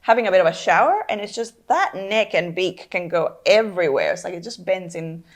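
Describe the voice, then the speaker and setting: A young woman from the Dominican Republic co-hosting a casual chat, bubbly and quick with playful quips. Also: on the low side, expressive. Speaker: board game channel co-host.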